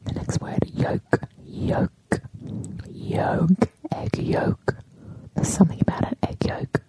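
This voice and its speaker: A woman whispering in an ASMR style, speaking in a deliberate, playfully opinionated way.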